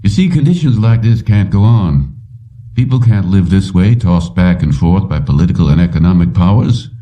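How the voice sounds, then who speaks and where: A man's voice: sits low, animated, normal speed, warm tone. A man reading an audiobook, voicing an earnest, resolute older father who owns a company. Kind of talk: audiobook character dialogue. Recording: slightly distant, dull lo-fi recording.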